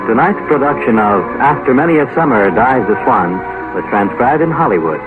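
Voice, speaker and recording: A man's voice: mid-range voice, expressive, fairly quick, music in the background. An American man reading scripted announcements as a 1950s radio announcer. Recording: tinny 1950 radio broadcast.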